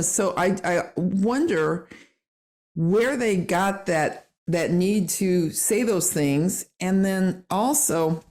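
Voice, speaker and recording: A woman's voice: medium pitch, darkish tone. A woman co-hosting an interview, asking thoughtful questions. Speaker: podcast co-host.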